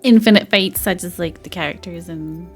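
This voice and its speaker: A woman's voice: fairly low pitch, normal speed. A woman game streamer chatting casually and somewhat apologetically while playing. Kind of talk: casual livestream chat while gaming. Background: faint game music.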